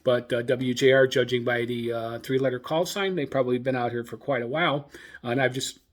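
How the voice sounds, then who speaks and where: A man hosting a casual hobby radio show, talking in a halting, hesitant way with many filler pauses. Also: mid-range voice, fairly even intonation.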